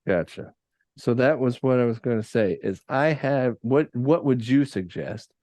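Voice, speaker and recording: A man's voice: mid-range voice, measured pace, dark tone. A man in his forties from Arkansas hosting a cocktail show over a video call from his home kitchen bar, chatty and joking with many fillers. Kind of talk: casual video call chat.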